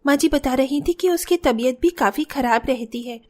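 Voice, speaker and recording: A woman's voice: high-pitched, normal speed, darkish tone. A woman playing a kind, earnest young sister-in-law in a cartoon family story. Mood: caring. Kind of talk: Hindi cartoon story acting.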